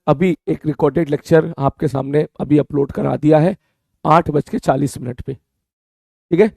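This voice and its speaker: A man teaching an online class with a sore, hoarse throat, chatting casually with his students and often checking they follow. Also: on the high side, warm tone, dry recording, clean studio sound. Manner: chatty, repeats "theek hai" often, calls students beta.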